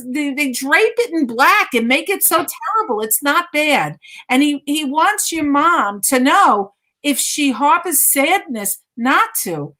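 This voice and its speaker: A woman's voice: sits high, pushed and bright, rough recording. A woman giving a conversational live mediumship reading, insistently repeating phrases and relaying messages as quotes.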